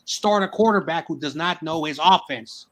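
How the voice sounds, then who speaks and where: A man's voice: a little high, moderate pace. Rambling man talking football. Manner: rambling, repeats words while explaining. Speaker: sports show host. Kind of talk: casual sports debate.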